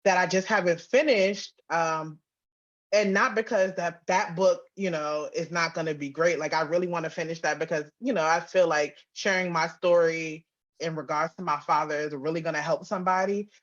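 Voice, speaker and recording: A woman's voice: on the low side, steady tone, moderate pace, muffled recording. A woman giving casual, candid interview answers as a guest author, chatty and emphatic. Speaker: guest, author and audio drama writer-producer.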